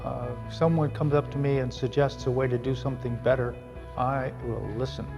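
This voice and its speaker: A man's voice: mid-range voice, little pitch movement, a little fast, gravelly, music in the background. An older man, an emergency physician, speaking earnestly and reflectively in an interview. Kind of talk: interview testimony.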